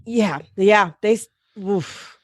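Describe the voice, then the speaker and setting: A woman's voice: mid-range voice, slow, no echo, clean studio sound. A woman chatting casually as a podcast guest, candid and blunt. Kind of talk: casual chat.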